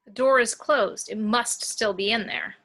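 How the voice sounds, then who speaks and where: A woman's voice: on the high side, measured pace, sharp tone. A woman reading a wary, challenging spaceship officer in an amateur table read, direct and questioning.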